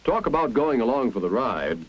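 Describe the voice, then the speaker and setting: A man's voice: medium pitch, big pitch swings. Dull vintage film soundtrack, American male narrator. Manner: clear, deliberate, explanatory. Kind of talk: 1950s instructional film narration, scripted. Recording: dull, band-limited 1950s film soundtrack.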